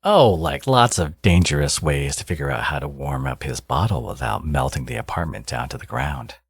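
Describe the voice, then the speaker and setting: A man playing a smug, teasing supervillain and doting new father in an intimate ASMR audio roleplay, murmuring playfully and cockily in a breathy, gravelly voice. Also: extremely low pitch, sing-song, moderate pace, dry recording, clean studio sound, exaggerated voice. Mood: teasing, reassuring. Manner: playful, cocky, murmuring to a lover.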